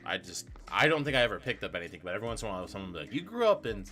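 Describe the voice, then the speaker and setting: A man's voice: fairly low pitch, very animated, very fast, sharp tone, faint music behind. A young man co-hosting a trivia stream, chatting casually in a rambling, profane way and correcting himself as he goes. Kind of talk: casual chat.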